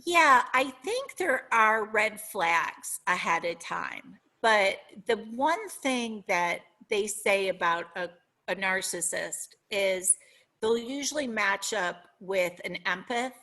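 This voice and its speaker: Bright-voiced Minnesotan woman, earnest. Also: medium pitch, lively intonation, takes it slowly. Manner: earnest, reflective, searching for words. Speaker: guest author. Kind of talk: podcast interview.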